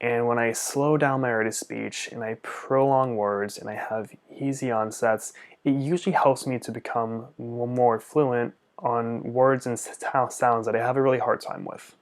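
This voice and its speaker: A man's voice: medium pitch, fairly even intonation, normal speed, hardly any pauses. A young man, a speech-language pathologist, answering viewer questions in a casual vlog, earnest and open, stuttering often with repeated sounds and blocks.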